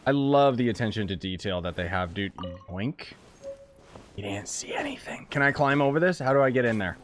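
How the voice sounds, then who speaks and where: A young man doing casual live game commentary in an excitable, playful, slangy way. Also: medium pitch, fairly quick, noisy background. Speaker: game streamer. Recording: game music behind the voice. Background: game music.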